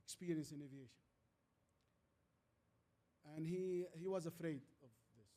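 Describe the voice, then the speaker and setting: Man, native Arabic speaker, Arabic accent, fairly high mellow voice, halting anecdotal delivery, repeated words, short emphatic phrases, guest speaker. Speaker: guest speaker, transformation leader. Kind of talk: fireside chat, anecdotal storytelling.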